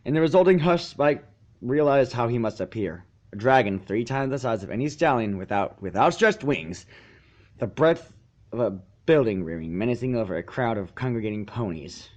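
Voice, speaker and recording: A man's voice: mid-range voice, lively intonation, normal speed, lo-fi sound. A man reading fan fiction aloud as narrator, sometimes stumbling and repeating a phrase. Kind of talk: scripted story narration.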